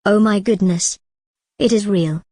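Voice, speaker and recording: Radio play child: prim, sensible young girl woman performing, mellow tone, very dry recording. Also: medium pitch, a little slow, no echo, lightly acted. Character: polite, matter-of-fact first or second grade girl. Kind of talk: radio drama acting.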